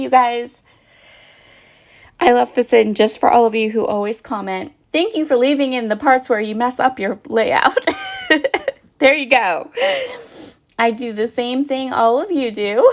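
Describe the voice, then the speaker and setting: Woman scrapbook vlogger, slightly froggy voice, chatty and self-deprecating, fairly high pitch. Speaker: scrapbooking channel host. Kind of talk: crafting process voice-over.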